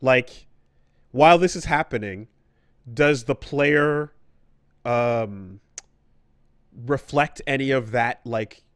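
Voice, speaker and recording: A male podcast co-host with a medium pitch, recorded dry and close. He talks casually and analytically, feeling his way through each point with halting, repeated words. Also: smooth, dry recording. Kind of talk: casual analytical chat about stories in games.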